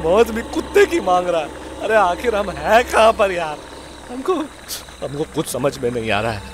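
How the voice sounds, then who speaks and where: Man acting panicky captive sidekick, horror comedy audio drama, high animated voice, whiny stammer, swearing, noisy background. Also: normal speed. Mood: exasperated. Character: panicky foul-mouthed comic male captive, cowardly sidekick.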